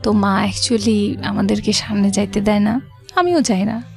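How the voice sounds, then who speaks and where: A woman's voice: mid-range voice, unhurried, creaky, darkish tone. A woman from Rajshahi with a Bangladeshi accent, telling her life story conversationally as a radio guest and mixing in English words. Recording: background music under the voice. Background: music.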